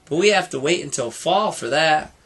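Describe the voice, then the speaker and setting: A man voicing an excitable, curious young boy mouse in an eager, exclaiming way for a storybook. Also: a little high, moderate pace, husky, heavily acted.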